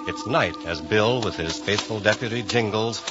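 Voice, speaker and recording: A man's voice: on the low side, normal speed. A man giving bold, dramatic scripted narration as the announcer of an old Western radio show. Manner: bold, declamatory. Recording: dull old radio recording, music behind.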